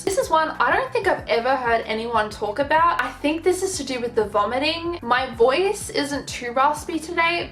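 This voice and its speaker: A woman's voice: high-pitched, a bit bright, reverberant. A young woman vlogger talking frankly to camera in a chatty, casual way, full of fillers. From Australia.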